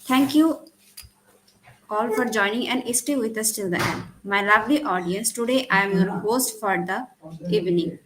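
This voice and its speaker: A woman's voice: fairly high pitch, a crowd in the background, poor audio. Bangladeshi woman hosting a webinar. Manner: formal, halting, restarts her lines. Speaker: webinar host. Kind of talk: formal scripted welcome.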